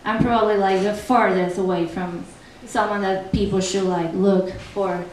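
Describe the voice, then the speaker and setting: A woman's voice: mid-range voice, steady tone, normal speed. A young woman from Argentina with a thick Argentinian Spanish accent, a native Spanish speaker talking casually on a translation panel and reading a poem aloud, with many ums and restarts. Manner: chatty, frequent ums and restarts. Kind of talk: panel talk with poetry reading. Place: festival event room. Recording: distant echoey room, faint noise.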